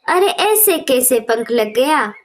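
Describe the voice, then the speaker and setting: A woman's voice: sits high, big pitch swings, slow, heavily acted. A woman voicing a spoiled, rude young bird princess in a cartoon story, demanding and bratty. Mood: angry, scornful. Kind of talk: Hindi cartoon story acting.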